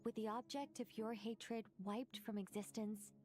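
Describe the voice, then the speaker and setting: Game angel, played by a woman: wise female guide, lightly high voice, formal and measured, music underneath. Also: steady tone, a little mellow, lightly acted. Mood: wistful, reflective. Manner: articulate, formal, measured, philosophical. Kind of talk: scripted video game voice acting.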